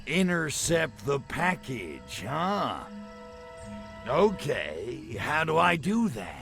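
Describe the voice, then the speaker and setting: An actor playing a cocky, crude alien invader in a video game, brash, sarcastic and swaggering. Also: male voice, fairly high pitch, wildly swooping pitch, extremely slow, gravelly, heavily acted. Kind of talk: video game cutscene acting. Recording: background music under dialogue.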